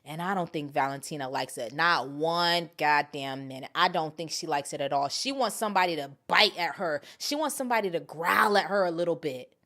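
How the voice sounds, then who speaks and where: A woman's voice: low voice, fairly quick, hardly any pauses. A woman hosting a commentary channel, talking casually and emphatically to viewers in slangy language, repeating her points and acting out quotes. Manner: animated retelling, acting out quotes; informal slangy talk with mild swearing. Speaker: host of an MMA commentary channel.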